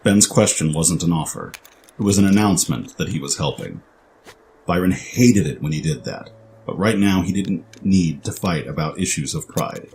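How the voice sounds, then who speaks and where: Very deep-voiced male storyteller. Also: animated, moderate pace, darkish tone, slight background noise. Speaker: narrator. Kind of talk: scripted dramatic narration.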